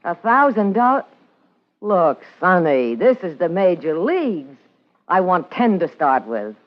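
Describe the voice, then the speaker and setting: A woman's voice: fairly low pitch, sing-song, unhurried. An actress with an American accent playing a hard-boiled, wisecracking party girl and blackmailer in a vintage radio drama, with a tough, sardonic manner. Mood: tough, defiant. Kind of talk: 1950s radio noir acting, narration and dialogue. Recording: muffled vintage radio recording with music.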